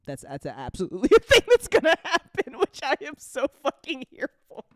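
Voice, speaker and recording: A woman chatting casually as an audio drama creator and host, with an animated, sweary, slangy way of talking. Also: sits high, brisk, no echo, studio quality. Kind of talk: casual chat.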